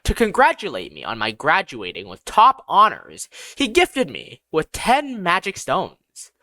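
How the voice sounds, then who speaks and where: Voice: mid-range voice, huge pitch swings, a little slow, pushed and bright, dry recording, poor audio. A narrator reading a first-person fantasy story aloud in a scripted audiobook style.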